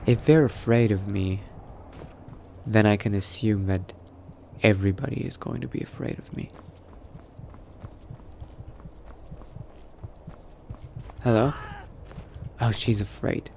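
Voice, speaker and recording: A man's voice: lowish voice, fairly even intonation, normal speed, creaky, a little mellow. A man voicing a cautious, coldly pragmatic new vampire in a roleplay, muttering to himself and often dropping into a whisper. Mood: wary, calculating. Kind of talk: in-character roleplay narration, thinking aloud. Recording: noticeable background noise.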